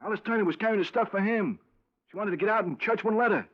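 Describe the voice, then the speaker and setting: An actor playing a nervous, guilt-ridden informant in a 1950s radio drama, urgent and jittery, repeating himself. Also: male voice, high-pitched, quick pace. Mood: insistent. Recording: dull vintage radio recording.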